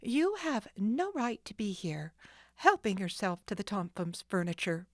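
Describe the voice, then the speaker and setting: A woman's voice: high voice, sing-song, normal speed, fairly bright tone, no echo, clean studio sound, pitched above the performer's own voice. A woman voicing a brave young girl detective who answers back coolly and defiantly. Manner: cool and defiant, firm.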